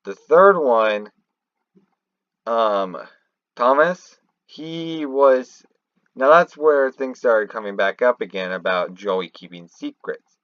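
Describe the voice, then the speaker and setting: A man giving unscripted commentary on game lore in a halting, rambling way, often restarting mid-thought. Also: mid-range voice, animated, no echo, muffled recording. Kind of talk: unscripted theorizing commentary over a screen recording.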